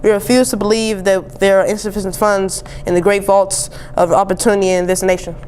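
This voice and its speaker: A young teenage girl reads a formal speech aloud as a student would. Her pitch is middling for a female voice, and there is faint ambient noise behind her. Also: little pitch movement, fairly quick, darkish tone, rough recording. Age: young teen, 7th grade student. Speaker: student reader. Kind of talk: reading a famous speech aloud.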